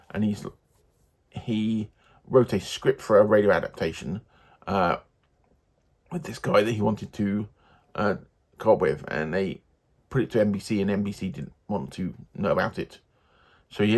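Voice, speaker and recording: A man giving a casual, unscripted book review in a hesitant, halting, rambling way. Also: on the low side, a little mellow.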